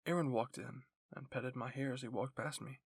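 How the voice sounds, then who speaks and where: A man's voice: mid-range voice, steady tone, moderate pace, vocal fry, breathy edge, no room sound, studio quality. A man narrating a scripted first-person fan fiction story as an ASMR roleplay.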